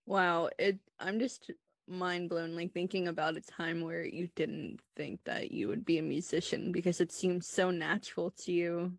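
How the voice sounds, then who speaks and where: Creaky-voiced woman podcast host. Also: fairly low pitch, fairly even intonation, moderate pace, no echo, clean studio sound. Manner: admiring, informal. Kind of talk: casual interview chat.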